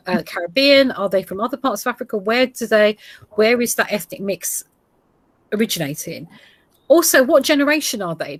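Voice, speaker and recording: A woman in her forties, a geography teacher with a Gujarati heritage, explaining ideas to other teachers in a steady way with small fillers. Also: medium pitch, no echo. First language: Gujarati heritage, English now first. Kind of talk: presentation to teachers.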